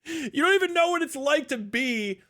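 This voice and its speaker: A man's voice: extremely high pitch, animated, normal speed, bright, forceful tone. An American man livestreaming an unscripted rant in a blunt, profane, exasperated and argumentative way, repeating points for emphasis. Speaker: livestream host. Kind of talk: casual unscripted livestream rant, answering chat.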